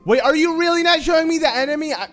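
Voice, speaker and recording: A young man with a slightly raspy voice giving casual, joking commentary over a video game he is playing, full of fillers and self-mocking asides. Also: very high-pitched, fast talker, fairly bright tone. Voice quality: slightly raspy from just waking. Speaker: gameplay commentator. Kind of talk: casual let's-play commentary. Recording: game music faint underneath. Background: game music.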